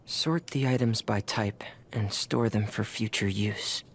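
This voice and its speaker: A subdued young tinkerer from a game, speaking slowly in a lowish voice. He is quiet and practical, with faint ambient noise behind. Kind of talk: scripted game character voice acting.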